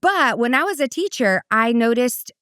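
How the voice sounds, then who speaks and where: Guest: Brazilian-born woman, middle-aged, smooth crisp voice, animated storyteller, dry close sound. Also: moderate pace, dry recording. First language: Portuguese. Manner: animated, voice jumps high for quoted asides. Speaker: guest, parenting coach. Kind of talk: casual conversational storytelling.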